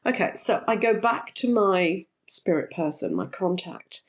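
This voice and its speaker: A woman's voice: lowish voice, animated, unhurried. A middle-aged woman, a bit congested from a cold, talking candidly to her viewers with frequent ums. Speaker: spiritual teacher and vlogger. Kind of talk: casual talk to camera.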